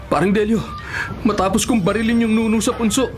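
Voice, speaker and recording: Actor as fearless policeman, male, somewhat high pitch, boastful, defiant, radio drama, music underneath. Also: steady tone, a little fast, lightly acted. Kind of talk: Filipino radio drama acting.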